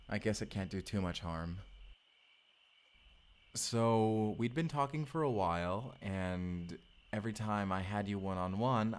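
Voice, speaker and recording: A man's voice: lowish voice, close to an everyday voice. A man playing a tender, boyish husband from the future in an intimate, bashful, rambling romantic audio roleplay. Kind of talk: romantic audio roleplay acting, addressed to listener.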